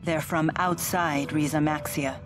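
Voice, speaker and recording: Low, self-assured stately young woman. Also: steady tone, measured pace. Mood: solemn, certain. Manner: formal, matter-of-fact, assured. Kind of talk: English video game voice acting. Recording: game music behind the voice.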